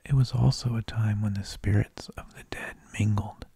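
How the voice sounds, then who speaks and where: A man narrating in a soft, breathy ASMR whisper, with slow, deliberate phrasing, close to the microphone. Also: fairly low pitch, fairly even intonation, no room sound. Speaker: ASMR host.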